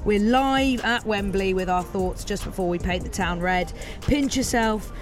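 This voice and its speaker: A hoarse woman hosting a casual podcast chat outdoors, excitable and stumbling over her words. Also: mid-range voice, unhurried, music in the background. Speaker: podcast host. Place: outdoors near a stadium in a city.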